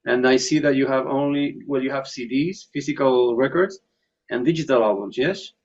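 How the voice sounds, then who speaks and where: A man's voice: mid-range voice, moderate pace. A man hosting an interview, asking questions and often murmuring short agreements. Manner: frequent short affirmations, searching phrasing. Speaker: interviewer, host. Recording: gravelly muffled lo-fi audio.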